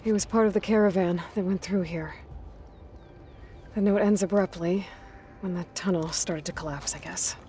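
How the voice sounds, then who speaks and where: An actress playing a young woman hunter in a video game, talking calmly and thoughtfully. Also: fairly low pitch, normal speed, slight background noise, close to an everyday voice. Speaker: protagonist.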